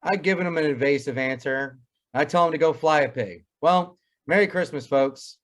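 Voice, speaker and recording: A man's voice: a little high, moderate pace, a bit bright, close to an everyday voice. A man playing a wry, pompous family doctor in old-time radio comedy, wordy and drily sarcastic. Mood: joking.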